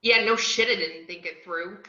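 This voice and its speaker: A woman's voice: mid-range voice, normal speed, pushed and bright, close to an everyday voice. A woman playing a brash, wisecracking antihero who narrates her own misadventures in an amateur table read over a video call. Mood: sassy. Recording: roomy, dull video-call audio.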